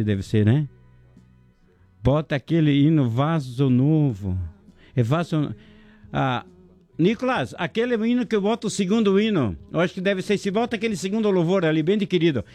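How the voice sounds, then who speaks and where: Chatty radio host. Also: male voice, a little high. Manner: chatty, jokey, shouting out listeners and sponsors. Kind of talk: casual local radio chat.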